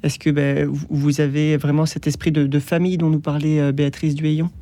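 A man's voice: fairly high pitch, level tone, fairly quick, dark tone. A man hosting an interview, putting structured questions to his guests. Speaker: interview host. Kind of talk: radio-style interview questioning.

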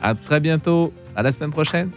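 A man's voice: a little high, normal speed, soft background music. A man hosting a call-in radio show, leading the questions in a friendly way. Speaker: radio host.

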